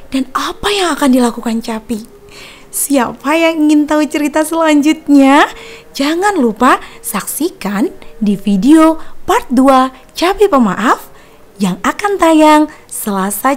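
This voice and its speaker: A woman narrating a children's story in an animated storyteller style, as the host. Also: sits high, unhurried. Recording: roomy echo, far from mic, music behind.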